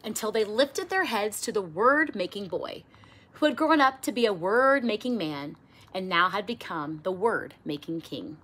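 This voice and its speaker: A middle-aged woman reading a children's picture book aloud expressively, with theatrical emphasis and drawn-out words. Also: mid-range voice, expressive, fairly bright tone, slight background noise. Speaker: storybook reader. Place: small indoor room with bookshelves.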